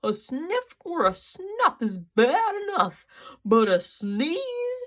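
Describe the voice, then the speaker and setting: A woman voicing a complaining male bear in a storybook, indignant and loud. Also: high voice, wildly swooping pitch, takes it slowly, pitched above the performer's own voice, heavily acted. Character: grumbling bald male bear.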